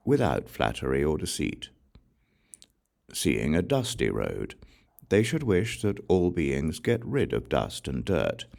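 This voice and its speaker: A man reading Buddhist scripture as an audiobook in a solemn, measured, formal way. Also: extremely low pitch, creaky, a little mellow. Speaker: audiobook reader.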